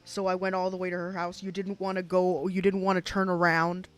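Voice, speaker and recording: A woman's voice: lowish voice, flat delivery, brisk, no echo, soft background music. A woman giving casual live reaction commentary as a streamer, blunt, profane and mocking. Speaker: streamer reacting to a recorded interrogation.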